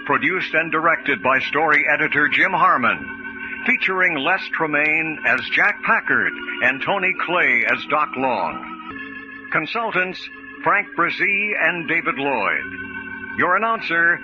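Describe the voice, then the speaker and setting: Animated male radio announcer over organ. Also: fairly high pitch, takes it slowly. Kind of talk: dramatic scripted radio announcing. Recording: dull lo-fi radio recording. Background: loud organ music.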